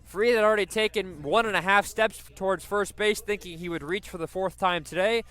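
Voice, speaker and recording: A man's voice: high-pitched, brisk, a bit bright. A man doing live radio play-by-play commentary of a baseball game.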